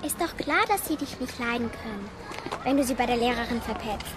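A woman's voice: on the high side, brisk, background noise, close to an everyday voice. A woman playing a feisty, loyal schoolgirl with bossy, cheeky talk in a children's radio play. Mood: firm, protective. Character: Kitty, feisty, loyal schoolgirl who defends her friend.

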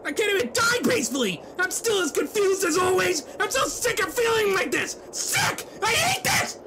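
Frantic, unhinged young man, as a woman voices him, yelling profane rants in a high voice that swings hard up and down. There is hiss in the background. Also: expressive, brisk.

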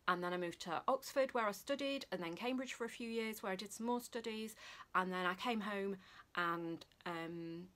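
A woman's voice: mid-range voice, moderate pace, a bit bright. A woman in her forties with a Yorkshire accent and a slight lisp, chatting casually to camera as a vlogger. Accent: Yorkshire English. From Sheffield, northern England. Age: 40s. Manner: chatty, informal. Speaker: sewing vlogger. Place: small furnished room indoors.

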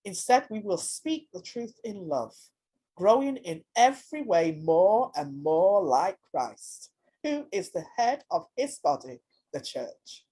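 A woman reading scripture aloud in a deliberate, declamatory way. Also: fairly low pitch, sing-song, warm tone, husky, poor audio. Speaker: scripture reader.

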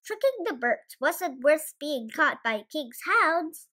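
A young girl reading a children's bedtime story in an expressive storytelling manner. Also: sits high, slow, a little hiss or hum.

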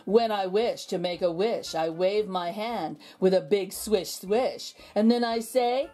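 A woman voicing an odd, friendly wish-making creature in a measured, rhyming children's storybook delivery. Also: medium pitch, mellow, soft background music, natural voice, rough recording. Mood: proud, friendly. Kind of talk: children's storybook read-aloud.

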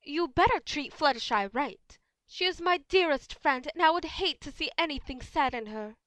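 A woman's voice: high-pitched, animated, moderate pace, fairly bright tone, no room sound, poor audio. A woman voicing a refined, dramatic, doting female unicorn in an amateur audio drama. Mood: polite, protective. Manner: genteel, dramatic.

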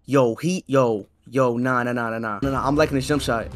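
A man's voice: medium pitch, fairly even intonation, fairly quick, slight background noise. A man trading casual gaming banter in slang, repeating his phrases for emphasis. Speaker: gamer. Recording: loud music behind. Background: music.

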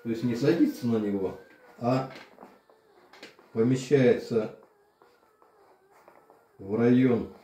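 An older man casually demonstrating a massager at home, explaining plainly with pauses and fillers. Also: medium pitch, warm tone. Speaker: channel host reviewing a massager. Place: home room, indoors. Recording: echoey room.

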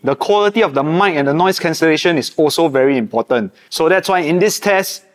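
A man's voice: a little high, brisk. A man in his thirties with a Singaporean accent, co-hosting a casual product review. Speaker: co-host and product tester.

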